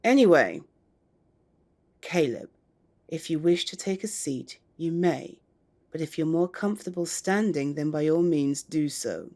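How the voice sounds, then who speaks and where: An actress playing a stern, formal female council elder who speaks in a measured, commanding way. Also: sits low, animated, a little mellow. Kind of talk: audio drama acting.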